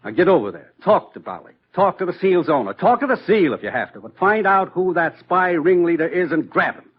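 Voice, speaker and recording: An actor playing an authoritative, dryly amused government commissioner briefing an agent in an old radio drama. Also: male voice, high voice, big pitch swings, a little fast, exaggerated voice. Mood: urgent, grave. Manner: crisp, authoritative, dryly teasing. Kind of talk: 1950s radio drama acting. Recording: dull vintage radio recording.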